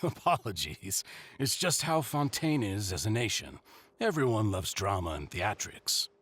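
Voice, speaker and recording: An actor playing a kindly, courteous elderly gentleman in a video game, speaking in a polite, measured, genteel way. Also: fairly low pitch, very animated. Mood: genial, courteous. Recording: music under the voice.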